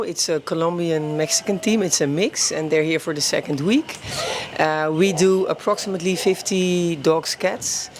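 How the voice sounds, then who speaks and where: A spokesperson for an animal welfare alliance, explaining their work haltingly in a news interview. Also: medium pitch. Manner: halting, pauses often mid-sentence. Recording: background noise from the surroundings.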